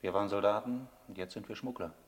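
An actor playing an enigmatic former soldier turned smuggler in a radio play, grave, resigned and cryptic. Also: male voice, fairly low pitch, fast talker, lightly acted. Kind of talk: German radio play acting. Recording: long reverberant hall sound.

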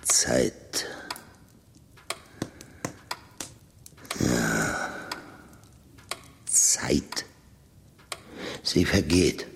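A man's voice: very deep voice, animated, very slow, gravelly, a bit bright, a little hiss or hum, heavily acted. An actor playing a rich, brooding older man in a radio drama monologue, musing philosophically and ponderously, at times dropping toward a whisper. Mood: brooding, solemn.

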